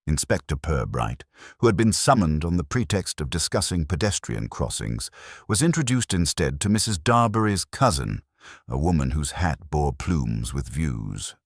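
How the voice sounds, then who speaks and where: A man reading scripted mystery fiction as narrator, in a measured, wry, literary manner. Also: very deep voice, very animated, no echo, clean studio sound.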